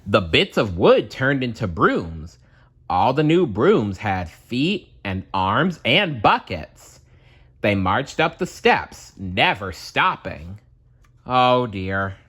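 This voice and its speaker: A man narrating a children's storybook aloud in a dramatic, deliberate storytelling manner. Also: mid-range voice, sing-song, fairly bright tone, breathy edge.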